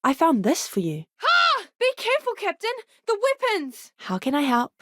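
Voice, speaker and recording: A woman voicing an earnest, eager young ship's doctor in a video game, with a polite, expressive manner. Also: extremely high pitch, moderate pace, no echo, studio quality, exaggerated voice. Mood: alarmed, urgent. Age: young adult. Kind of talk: game character voice lines.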